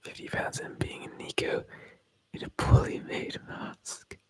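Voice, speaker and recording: A man's voice: unhurried, fairly bright tone. A man whispering through an ASMR livestream, rambling and joking as he reads viewer comments aloud. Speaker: ASMR streamer.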